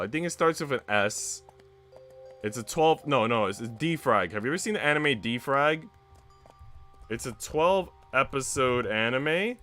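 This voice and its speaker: A man's voice: medium pitch, fairly bright tone. A man livestreaming in casual, conversational chat with his viewers. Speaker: livestreamer reading visual novels.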